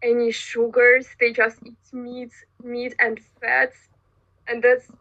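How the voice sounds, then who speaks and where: A young woman of twenty-one talks in a careful, hedging way, her voice a bit high. The recording sounds slightly dull. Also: monotone, takes it slowly. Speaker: philology student. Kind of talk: casual chat.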